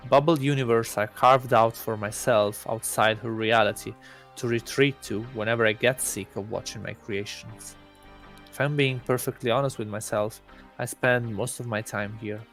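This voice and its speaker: A man's voice: mid-range voice, fairly bright tone. A man voicing an aloof, deluded god posing as a student in a visual novel, musing in a self-important, awkwardly earnest way. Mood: smug, musing. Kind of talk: first-person visual novel narration.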